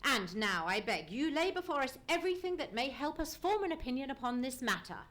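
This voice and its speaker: A woman playing a courteous, self-assured gentleman detective in a live radio play, with formal, deductive delivery. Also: high-pitched, big pitch swings, brisk. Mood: assured, reassuring. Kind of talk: live old-time radio play acting. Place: live on a theatre stage.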